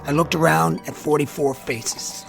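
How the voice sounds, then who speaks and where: Haunted, gravelly army lieutenant. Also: male voice, on the high side, fairly even intonation, over music. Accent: American. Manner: brooding, halting, intense. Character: haunted, weary army lieutenant, troubled and intense. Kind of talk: radio drama acting.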